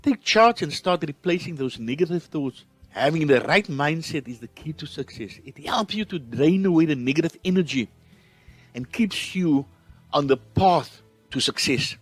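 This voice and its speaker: A man's voice: a little high, animated, normal speed, no room sound, faint music behind. Earnest South African man hosting a podcast. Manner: earnest, encouraging, reflective. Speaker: podcast host. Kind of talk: motivational podcast talk.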